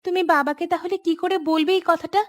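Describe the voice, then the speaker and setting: A woman voicing a young, dutiful and brisk daughter-in-law in a village cartoon. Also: extremely high pitch, steady tone, dry recording. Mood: questioning.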